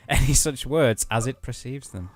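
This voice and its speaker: A man's voice: mid-range voice, a little slow, a little mellow, no echo. A man co-hosting a let's play, reading story narration aloud with casual asides.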